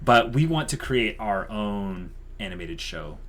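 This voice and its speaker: A man's voice: lowish voice, expressive, a little slow, fairly bright tone, a little hiss or hum. A man co-hosting a YouTube channel, chatting casually and unscripted in an enthusiastic, emphatic way, repeating himself for emphasis.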